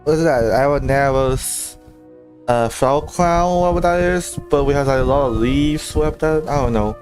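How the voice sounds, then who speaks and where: A man's voice: medium pitch, normal speed, over music. A man doing casual live game commentary as a streamer, in a hesitant, rambling way. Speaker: game livestreamer.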